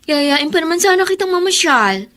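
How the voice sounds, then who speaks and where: Chirpy young bestie in radio drama, really high voice, super lively n singsong. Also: female voice, normal speed, heavily acted. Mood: chatty, caring. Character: chirpy, caring young female best friend from the province. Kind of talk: Filipino radio drama acting.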